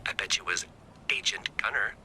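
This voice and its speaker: A man's voice: normal speed, heavy background noise, close to an everyday voice. A man playing a cocky, wisecracking rebel hacker in a video game, glib and theatrical, with playful swagger. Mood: quick, excited. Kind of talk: video game character dialogue.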